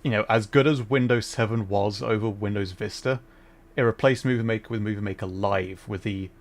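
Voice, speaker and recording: A man's voice: fairly low pitch, fairly quick. A young adult man chatting casually while streaming a game, rambling and correcting himself, with frequent laughs.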